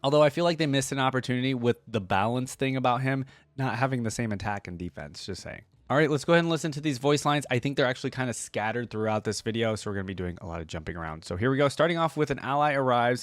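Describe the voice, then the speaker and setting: A man's voice: mid-range voice, fast, hardly any pauses, no echo. A man giving casual commentary as he reacts to game voice lines, hosting his own video.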